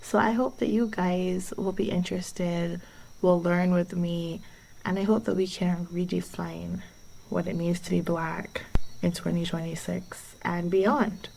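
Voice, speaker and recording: A woman from Barbados hosting her own podcast, talking through her thoughts in an unhurried, reflective way. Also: on the low side, little pitch movement, creaky, darkish tone, slight background noise, poor audio. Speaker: podcast host. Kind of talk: reflective solo podcast monologue.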